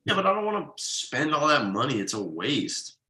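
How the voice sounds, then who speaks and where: Man playing an uptight, sharp-voiced husband. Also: medium pitch, very animated, moderate pace. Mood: aggrieved. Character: uptight exasperated husband and father, workaholic, thrifty. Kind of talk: table read of a comedy pilot.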